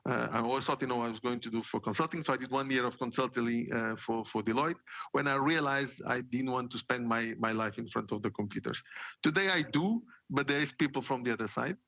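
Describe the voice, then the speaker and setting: Gravelly, dull-sounding man from Portugal. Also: mid-range voice, a little fast, sharp tone, dry recording. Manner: many fillers and repeated words, storytelling. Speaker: guest, marketing executive. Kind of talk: casual interview talk.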